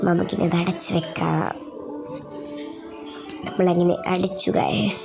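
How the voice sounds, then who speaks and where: A woman's voice: on the low side, level tone, slow, gravelly, music in the background. Casual, hesitant Malayali woman vlogger. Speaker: skincare vlogger. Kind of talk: casual voice-over narration.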